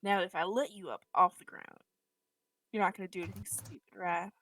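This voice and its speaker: A woman's voice: medium pitch, steady tone, fairly quick, fairly bright tone, distant mic, slight background noise, lightly acted. A woman voicing a tough woman brawler who coolly interrogates a pinned man. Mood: menacing. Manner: blunt, cool interrogating.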